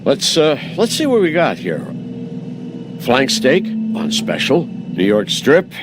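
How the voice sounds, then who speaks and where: A man's voice: mid-range voice, huge pitch swings, slow. An actor playing a nervous, evasive butcher in a video game, a man who stammers and hesitates apologetically. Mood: nervous, obliging. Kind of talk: cinematic video game acting. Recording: game audio with clear background noise.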